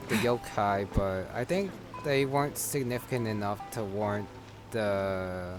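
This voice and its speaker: Male let's-player: lowish voice, very slow halting casual commentary, game music clearly behind. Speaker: gamer narrating a playthrough.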